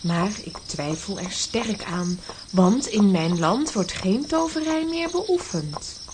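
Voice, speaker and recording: An actress playing a gracious, composed princess in a children's audio play, speaking formally. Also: female voice, mid-range voice, lively intonation, takes it slowly, darkish tone, slight background noise. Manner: stately, formal. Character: gracious, composed young princess at her palace.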